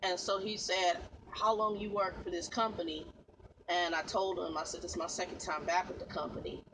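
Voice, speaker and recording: A woman's voice: lowish voice, fairly even intonation, moderate pace, noisy background. A woman truck driver vlogging in a casual, rambling way, repeating herself and calling her viewers y'all. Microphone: phone.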